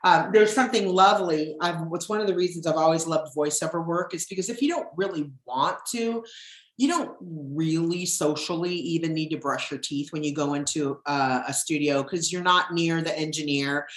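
A middle-aged woman with a low, husky voice hosts her podcast in a loose, rambling chat full of ums. Her voice rings in a noticeably echoey room. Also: moderate pace. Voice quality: deep husky voice. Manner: chatty, rambling, with frequent ums. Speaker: podcast host. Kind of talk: casual chat.

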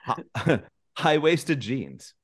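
A man's voice: medium pitch, big pitch swings, very slow. A man hosting a podcast in a jokey, informal, conversational way. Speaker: podcast host. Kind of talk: casual comic chat.

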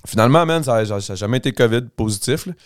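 Smooth-voiced Quebec French man, slangy chat. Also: mid-range voice, expressive, racing. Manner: slangy, restarts a lot, mixes in English. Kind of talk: casual chat.

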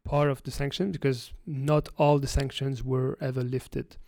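A man from France, a guest analyst giving explanatory interview answers, halting with frequent restarts. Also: medium pitch, flat delivery, gravelly, a little mellow, no room sound.

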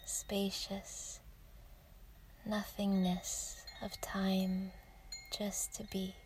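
A woman guiding a yoga nidra meditation in a soft, breathy near-whisper, gentle and soothing, with unhurried pauses. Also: mid-range voice, monotone. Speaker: meditation guide.

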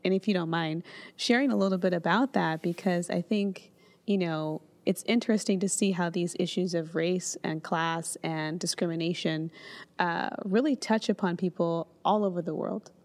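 A woman's voice: lowish voice, normal speed. A woman hosting a podcast, asking questions in an engaged, encouraging way. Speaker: podcast host. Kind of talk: interview questions.

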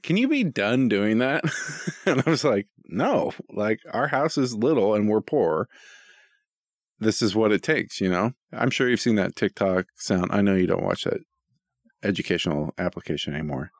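A man's voice: lowish voice, no echo, studio quality. A middle-aged American man chatting casually as a podcast co-host, rambling and self-deprecating, with some easy swearing. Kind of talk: casual chat.

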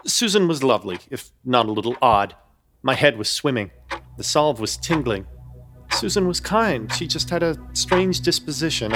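Brief: male narrator, wry storyteller, mid-pitched, faint music behind. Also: fairly bright tone. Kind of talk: scripted horror comedy narration.

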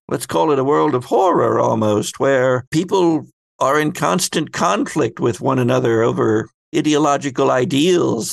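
A man's voice: mid-range voice, gravelly, dry recording. A man playing a grandiose, cryptic female keeper of stories in a tabletop game, speaking in a theatrical, philosophical way.

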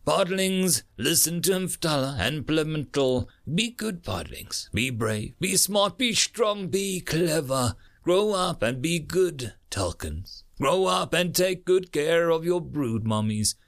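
A man voicing a wounded, exhausted alien marine in a story, devoted and brave, speaking haltingly and earnestly through pain. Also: fairly high pitch, expressive, voice pushed up. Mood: tender, farewell.

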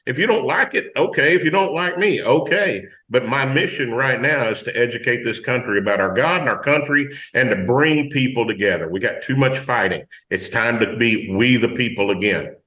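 A man with a low voice speaks bluntly, with a folksy turn of phrase. He is a country singer answering questions as a radio guest. His line sounds muffled and echoey. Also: lively intonation, moderate pace, hardly any pauses, bright, forceful tone. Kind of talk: talk radio interview.

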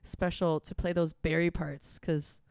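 A young woman with a Wisconsin accent talks in a chatty, easygoing way, with lots of "like" and "um". Her voice sits a little low and sounds smooth and clear. It is recorded close and dry, with no room sound. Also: little pitch movement, unhurried, a little mellow, no echo. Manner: chatty, frequent "like" and "um", laughs easily. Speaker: musician guest. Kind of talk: casual interview chat.

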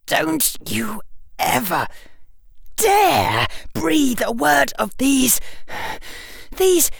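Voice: no room sound, clean studio sound. A performer voicing a precocious, indignant young boy, emphatic and fiercely defensive. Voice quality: shaky, climbing toward shrill. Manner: emphatic, articulate, argumentative.

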